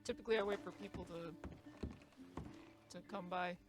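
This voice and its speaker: Guy with a high voice playing a saloon keeper in western rp, rambly and self-deprecating, music playing behind. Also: steady tone, normal speed. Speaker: roleplay player as a saloon keeper. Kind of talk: in-character western roleplay chat.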